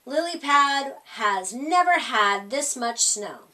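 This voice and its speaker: A woman's voice: sits high, lively intonation, takes it slowly, pushed and bright, exaggerated voice, poor audio. A woman voicing an upbeat, composed female frog TV meteorologist in a storybook, with emphatic announcing. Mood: emphatic reporting.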